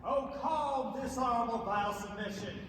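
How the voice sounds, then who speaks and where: A man's voice: very high-pitched, unhurried, a bit bright. A man acting on stage as a scornful young Shakespearean swordsman, mocking and declamatory. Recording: distant, very echoey hall, noisy.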